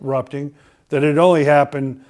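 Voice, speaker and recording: A man's voice: a little high, steady tone, moderate pace, darkish tone. Older man telling a rambling story. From Connecticut, USA. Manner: rambling storyteller with frequent "uh" fillers and restarts. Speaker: guest. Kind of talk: storytelling in a podcast interview.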